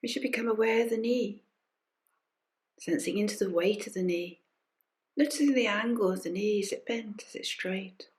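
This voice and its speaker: Woman softly guiding a body scan meditation. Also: mid-range voice. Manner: gentle, unhurried, with pauses and soft invitations. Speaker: meditation teacher leading a group session. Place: recorded during an online session.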